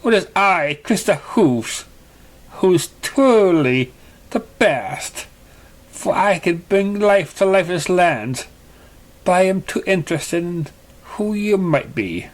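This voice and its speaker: Man as a boastful pony sorceress, raised pitch, proud, challenging, storybook mare. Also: lively intonation, takes it slowly.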